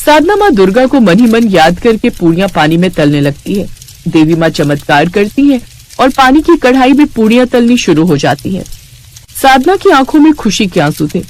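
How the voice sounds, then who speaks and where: A woman's voice: medium pitch, big pitch swings, normal speed, darkish tone. A woman narrating a scripted moral bedtime story.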